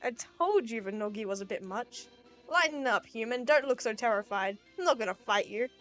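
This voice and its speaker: A woman voicing a brash female fish warrior who yells and trades cocky smack talk. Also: on the high side, lively intonation, a little fast, soft background music. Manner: yelling, cocky trash talk.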